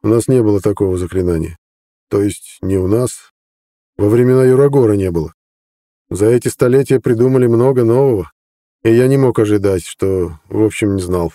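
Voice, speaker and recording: A man doing a tired, steady young man, quiet and calm in a dark, mellow voice. Dry and close. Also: on the low side, a little fast, no echo, lightly acted. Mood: explaining.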